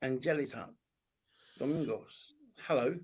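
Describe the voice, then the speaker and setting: A man's voice: medium pitch, a little slow, slightly rough. A man originally from Turkey, a medium and spiritual teacher, chatting in a friendly, curious way and laughing often. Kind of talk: casual live-stream chat.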